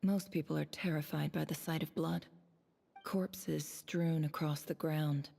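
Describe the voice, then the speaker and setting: A woman voicing a cool, guarded, observant young woman in a game, narrating in a hushed, creaky, dark voice. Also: low-pitched, fairly even intonation, unhurried, big-room sound, heavily acted. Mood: detached, grim.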